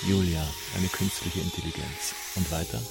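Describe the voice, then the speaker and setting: An actor playing a laconic, eccentric squatter and machine pilot in a radio play, speaking in terse, insistent phrases over music. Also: male voice, sits low, fairly even intonation, normal speed, creaky. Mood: calm. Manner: terse, insistent, repeats questions. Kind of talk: German radio play acting. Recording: heavy music bed under the voice.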